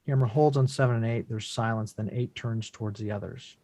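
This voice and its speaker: A man's voice: lowish voice, steady tone, brisk, mellow, dry recording. A man reading out stage directions as the narrator of a scripted drama table read.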